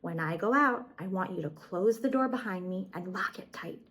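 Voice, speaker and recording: A woman voicing a caring mother rabbit who calmly and firmly instructs her babies in a storybook tale. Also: on the low side, normal speed, husky. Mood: gentle instructing. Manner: calm, instructing her children.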